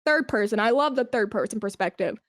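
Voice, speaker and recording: A woman speaking as a guest in a casual podcast interview, animated and self-deprecating, with slangy phrasing. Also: fairly high pitch, fairly quick, no echo, clean studio sound. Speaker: podcast guest, esports brand manager.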